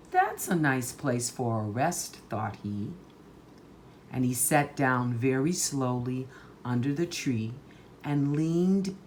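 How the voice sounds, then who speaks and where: A woman reading a children's story aloud in a deliberate, emphatic, dramatic way. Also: rumbling low, slight background noise. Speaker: storytime reader.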